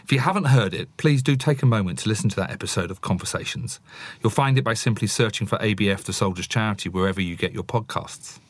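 A man's voice: fairly low pitch, fast talker. A man presenting a podcast as host and interviewer, introducing segments and putting questions to a guest.

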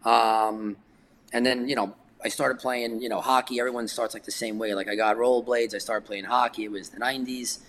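A man's voice: medium pitch, steady tone, a little fast, a bit bright, a little hiss or hum, rough recording. A man, a guest telling long stories in a casual chat, full of fillers and restarts. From New York, United States. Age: 40s. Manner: rambling, filler-heavy storytelling. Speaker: guest skateboarder.

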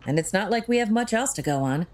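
A woman's voice: mid-range voice, lively intonation, darkish tone, close to an everyday voice. A woman playing a witty, sarcastic crime-fighting tech expert in an audio drama, with a wry manner. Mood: analytical, confident. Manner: wry, sarcastic, quick-witted.

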